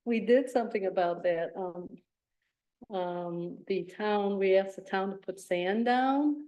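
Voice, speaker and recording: Soft-spoken woman, chatty with lots of ums, mid-pitched, presenting to a town board. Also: unhurried, rough recording. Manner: chatty, hesitant with many ums. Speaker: pond program presenter. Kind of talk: community meeting testimony. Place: town board meeting room.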